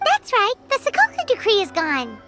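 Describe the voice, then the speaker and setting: A woman voicing a tiny, cheeky, childlike fairy companion in a video game, perky, with loud music behind her. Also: very high-pitched, wildly swooping pitch, normal speed, heavily acted. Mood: chirpy. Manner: perky, speaks of herself in third person. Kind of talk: English video game voice acting.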